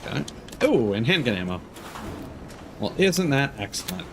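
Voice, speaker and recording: A man's voice: medium pitch, sing-song, normal speed, creaky. A man giving casual, wry gameplay commentary, playfully talking to himself as he streams. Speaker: video game streamer. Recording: game noise behind the voice. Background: video game ambience and mechanical sounds.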